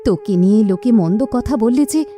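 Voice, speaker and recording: A woman voicing a weary, gentle widowed mother in a village in a cartoon. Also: on the high side, lively intonation, moderate pace, soft background music, natural voice. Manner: pleading, weary.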